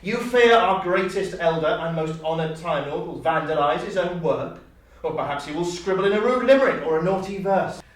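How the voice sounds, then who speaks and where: A man's voice: sits high, animated, fairly quick, hardly any pauses. Auditioning man, heavy room echo. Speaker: actor auditioning. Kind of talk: audition monologue, pitch and casual interview. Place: echoey university room. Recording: very echoey room, distant mic, faint noise.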